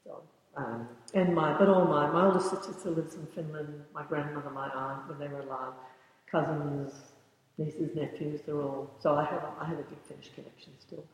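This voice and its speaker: A woman in her sixties, a yoga teacher, answering interview questions thoughtfully and often pausing to search for words. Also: low-pitched, fairly even intonation. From born in the United States, raised in the Bahamas. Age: 60s. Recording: very echoey room with long reverb.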